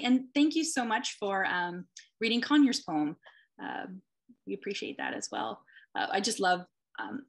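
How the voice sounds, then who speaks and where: A woman's voice: mid-range voice, fairly bright tone. Woman editor as host, mid-range voice, casual and hesitant between readers. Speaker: magazine editor hosting. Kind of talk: casual event hosting. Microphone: computer mic on a video call.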